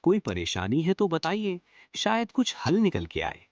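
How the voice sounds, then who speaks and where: A man's voice: a little high, huge pitch swings, a little slow, no room sound, full character voice. A man voicing a confident young village son. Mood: concerned. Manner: reassuring, confident.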